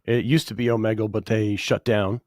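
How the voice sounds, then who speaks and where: Deadpan man, low voice, slightly worn out. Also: fairly quick, no echo. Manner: dry, deadpan joking. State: losing his voice after bronchitis. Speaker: comedian guest. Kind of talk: casual interview chat.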